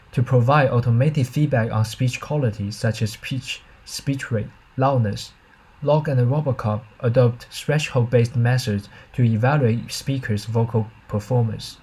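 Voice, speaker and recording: A man reading a scripted academic presentation as a researcher, in a formal, measured manner. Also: mid-range voice, darkish tone, slightly rough, rough recording. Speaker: researcher presenting own system.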